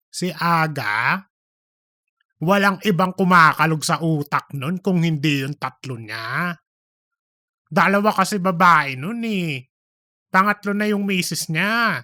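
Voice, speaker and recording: A man's voice: a little high, bright, forceful tone, dry recording, studio quality. A man playing a gossipy, cheeky office worker in a comedic audiobook, speaking in a campy, dramatic, slangy way. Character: gossipy, cheeky, self-promoting office worker named Cheese.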